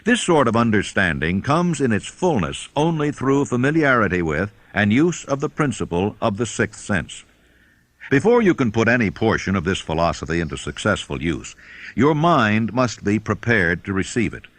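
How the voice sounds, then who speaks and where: A man reading a self-help audiobook in a measured, emphatic, formal way. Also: lowish voice, big pitch swings, a bit bright, no echo. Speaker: audiobook reader. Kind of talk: scripted audiobook narration of a self-help book.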